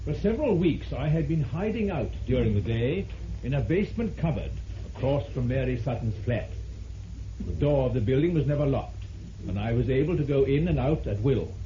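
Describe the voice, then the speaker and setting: A man plays a male crown prosecutor, measured and declamatory, with a very dark, mellow voice. The old radio recording is muffled with faint hiss. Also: medium pitch, lively intonation, natural voice. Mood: formal, grave. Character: formal male crown prosecutor reading a confession. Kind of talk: formal reading aloud in vintage radio drama.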